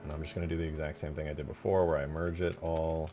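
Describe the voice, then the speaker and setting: A man with a low voice talks through his work as a game modder in a casual stream. He mutters to himself in informal slang, thinking aloud. Music plays clearly behind him. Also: little pitch movement, fast talker, mellow. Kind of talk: casual thinking-aloud commentary while working.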